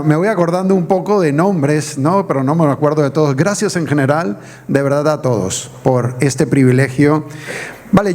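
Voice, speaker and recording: A man's voice: fairly high pitch, darkish tone. A middle-aged man from the Canary Islands, raised in Venezuela, preaching an evangelistic sermon as a guest pastor and firing rhetorical questions at the congregation.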